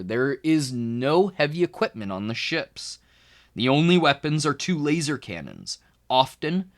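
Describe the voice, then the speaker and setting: A man with a mid-pitched voice reads aloud in an educational style, like a tutor working through a children's book. His intonation is very animated, rising and falling widely. He phrases things deliberately and leans on the key words. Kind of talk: expressive educational read-aloud narration.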